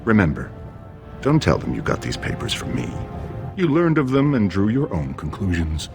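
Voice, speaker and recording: An actor playing a brusque, impatient dwarven schemer in a fantasy video game. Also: male voice, fairly low pitch, very animated, normal speed, vocal fry. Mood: stern, scheming. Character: scheming, impatient male dwarven noble's political aide. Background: game music.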